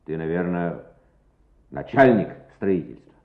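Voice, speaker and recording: Animated, indulgent man in authority. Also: sits low, measured pace. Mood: benevolent, brisk. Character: authoritative adult official or school director, indulgent. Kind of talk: Soviet radio play acting. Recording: tinny, echoey old radio play recording.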